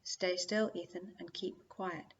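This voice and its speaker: A wry talking spaceship voiced by a woman reader speaks in a measured, unhurried way. It has a dry storybook manner. The recording is slightly dull. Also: mid-range voice, a bit bright, close to an everyday voice. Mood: hushed. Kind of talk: audiobook character dialogue.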